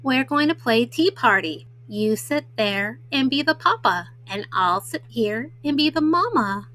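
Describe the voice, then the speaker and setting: A woman's voice: on the high side, lively intonation, measured pace, a bit bright. A woman voicing an eager, bossy six-year-old girl bear cub in a children's storybook, childlike and assertive.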